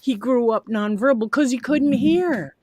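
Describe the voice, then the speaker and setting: A middle-aged woman on a video call, speaking in a low, soft voice she calls ASMR-like, telling a heartfelt, rambling story with pride. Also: a little slow, no room sound. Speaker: parent sharing a story. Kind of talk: casual group chat. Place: home video chat.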